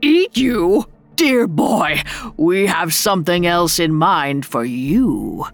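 A woman's voice: fairly low pitch, very animated, takes it slowly, faint music behind, heavily acted. A woman voicing a pompous, portly old goblin king in a grand, commanding storybook manner. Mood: amused, grand. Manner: grand, authoritative, theatrical.

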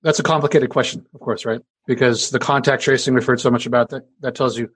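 Deep-voiced man explaining science casually. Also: dry recording. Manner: conversational, many fillers and restarts. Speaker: engineering professor interviewee. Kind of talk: explanatory interview answers.

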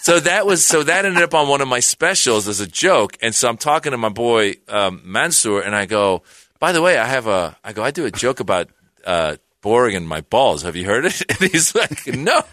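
Bright-voiced man telling an animated story. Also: medium pitch, normal speed, no echo. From Iran. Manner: animated, rambling, with restarts and acted-out quotes. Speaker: comedian guest. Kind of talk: casual storytelling chat.

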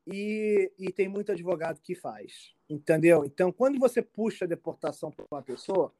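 A man with a Brazilian accent answering informally as an expert, halting and repetitive, often checking he is understood. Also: high voice, animated, mellow. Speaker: immigration expert being interviewed. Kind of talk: informal explanatory interview answers.

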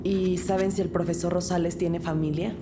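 A woman's voice: lowish voice, little pitch movement, moderate pace, background noise, lightly acted. An actress playing a junior woman detective who narrates her case in a scripted audio drama, with music behind her. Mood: composed, inquisitive.

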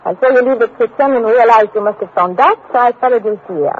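An actress playing a haughty, scheming European spy, imperious and theatrical in 1950s radio style. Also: female voice, a little high, fast talker, breathy edge. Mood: cold, commanding. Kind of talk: 1950s radio drama acting. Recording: dull, lo-fi old radio.

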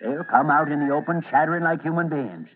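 A man's voice: a little high, fairly quick, natural voice. An actor playing a folksy, cocky old carnival animal man, teasing and rambling, in old-time radio drama style. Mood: scheming, confident. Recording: tinny, noisy old radio recording.

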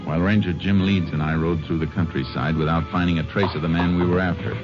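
Deep-voiced Texas Ranger played by a male actor. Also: fairly even intonation, music in the background, close to an everyday voice. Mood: narrating. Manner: measured, businesslike. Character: calm, shrewd Texas Ranger investigator. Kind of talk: 1950s radio western acting. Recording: muffled old radio recording.

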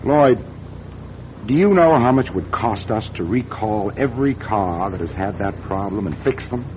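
Through noticeable noise on a muffled radio drama recording comes a cornered American company boss , played by a male actor. His voice sits on the low side, menacing and full of self-justification, a ruthless executive defending himself. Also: lively intonation, moderate pace, close to an everyday voice. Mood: pressing, exasperated. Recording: dull, noisy old radio drama recording.

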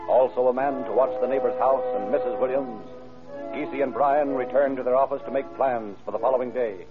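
A man's voice: mid-range voice, steady tone, fairly quick, natural voice. A grave crime-story narrator, performed by a man from old radio. His mid-range voice delivers scripted narration dramatically, thin and tinny, with prominent music behind it. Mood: matter-of-fact. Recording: tinny, band-limited vintage radio recording.

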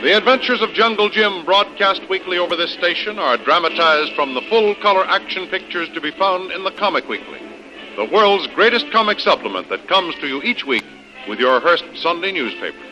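A man's voice: sits high, expressive, fairly quick, music in the background, poor audio. A man announcing and narrating a 1930s radio serial from a script in a formal, emphatic manner. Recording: old radio broadcast recording.

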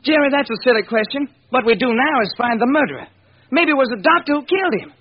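An actor playing a blunt, wisecracking police lieutenant with a husky voice in an old radio crime drama. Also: male voice, very high voice, expressive, fast talker, heavily acted. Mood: sardonic. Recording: dull vintage radio recording.

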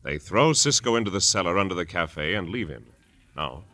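A man's voice: low voice, lively intonation, normal speed, sharp tone, dry recording, studio quality. A man narrating a 1950s radio drama as announcer, in a dramatic, emphatic style. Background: organ music.

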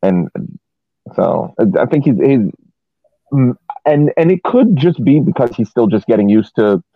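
A man talking casually as a podcast panelist analysing soccer, explaining at length in a halting way with repeated words. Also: mid-range voice, sing-song, vocal fry, no room sound. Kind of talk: casual match analysis discussion. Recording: thin phone-like line.

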